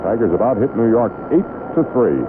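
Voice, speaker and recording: A man's voice: mid-range voice, expressive, fairly quick. An American man calling live baseball play-by-play on the radio. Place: outdoor stadium broadcast booth. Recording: noisy tinny vintage 1970s radio broadcast. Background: hissy stadium ambience noise.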